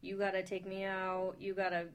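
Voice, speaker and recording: A woman's voice: mid-range voice, completely flat delivery, moderate pace, clean tone. A woman on a radio panel chatting casually in a playful, cheeky manner. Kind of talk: casual chat.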